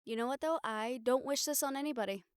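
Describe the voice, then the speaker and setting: A woman's voice: sits high, fast talker, clean tone, dry recording, clean studio sound, natural voice. A woman playing a wry, daring young reporter in an intimate audio roleplay, talking to her partner in a sardonic, sighing, casually sweary way. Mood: defiant. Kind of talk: intimate audio roleplay acting, conversational monologue to the listener.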